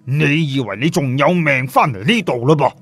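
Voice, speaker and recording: Man voicing brash, husky, streetwise Fatty. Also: fairly high pitch, lively intonation, moderate pace, a bit bright, soft background music, exaggerated voice. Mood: mocking. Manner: mocking, slangy, blunt.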